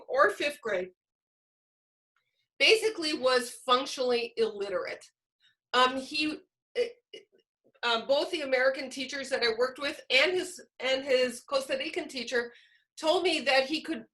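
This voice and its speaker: A woman presents informally, as if training teachers. Her voice is high and bright. She speaks conversationally and with enthusiasm, without reading from a script.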